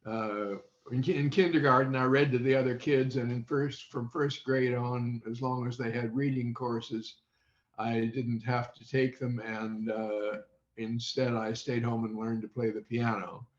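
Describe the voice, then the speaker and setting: An American man in his eighties answers as an interview guest, recollecting slowly and deliberately. His delivery is halting and unhurried at a middling pitch. The call audio is muffled and quite roomy. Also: fairly even intonation. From Indiana, United States. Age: 80s. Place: indoors on a video call.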